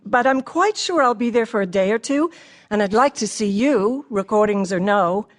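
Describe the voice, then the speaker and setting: An actress performs a literary woman reading her writing in a radio drama. She is wry, precise and a little shy. Her medium-pitched voice has animated rises and falls. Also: normal speed, natural voice. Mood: wry, light. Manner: dry wit, precise. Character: shy, wry, observant female poet writing to a friend. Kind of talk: radio theatre, reading letters and verse aloud.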